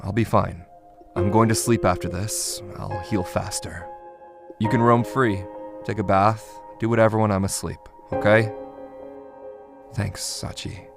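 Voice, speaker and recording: A man playing a weary, sick young guardian in a manga dub, low-key and gruffly dismissive. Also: steady tone, a little slow, over music. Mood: weary, reassuring. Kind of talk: anime-style manga dub acting.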